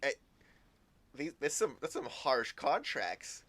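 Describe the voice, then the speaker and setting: A man giving casual live gameplay commentary in a halting, rambling way, often repeating words. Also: mid-range voice, big pitch swings, noisy background. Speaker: game streamer. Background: faint game music.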